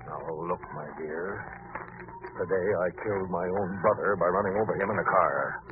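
An actor playing a suave, gloating murderer with mocking, elaborate courtesy in an old radio drama. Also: male voice, deep voice, moderate pace, lightly acted. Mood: cold threat. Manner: mannered, theatrical, elaborately polite wording. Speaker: actor in a radio mystery serial. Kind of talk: old-time radio villain acting. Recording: tinny, noisy old radio recording.

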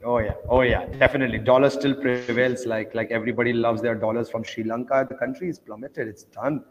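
A man's voice: medium pitch, fairly even intonation, fairly quick, in a large hall, lo-fi sound, slight background noise. A man from Oman, a guest on a casual panel chat, telling stories eagerly with loose grammar.

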